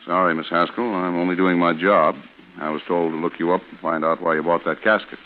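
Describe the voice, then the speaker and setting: A deep male voice on a thin, faintly noisy old radio recording. An actor plays a small-town official asking polite, probing questions in a hesitant, matter-of-fact way, as in a vintage suspense show. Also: level tone, fairly quick, phone-quality sound, lightly acted. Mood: apologetic, polite.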